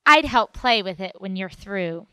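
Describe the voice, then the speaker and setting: A woman's voice: medium pitch, animated, a little slow, sharp tone, clean tone, dry recording, pitched above the performer's own voice. A woman voicing a bossy, teasing little girl in a storybook reading, sing-song and tattling.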